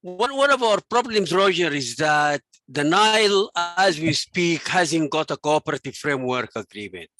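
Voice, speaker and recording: An earnest man making a measured point on a video call. His voice is bright and a touch high, with a dry, close sound. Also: big pitch swings, no room sound. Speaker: professor, forum participant. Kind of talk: remarks in an online forum.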